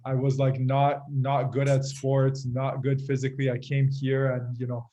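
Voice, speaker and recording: Halting, reflective man, mid-pitched voice. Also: monotone, warm tone, highs cut off. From Iran, moved to Canada at eight. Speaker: podcast guest, fitness group leader. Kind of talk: casual personal storytelling.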